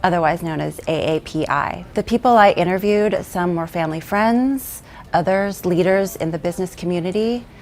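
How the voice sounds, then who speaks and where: A woman producer introducing a documentary segment and asking interview questions in an earnest, measured way. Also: lowish voice, slight background noise.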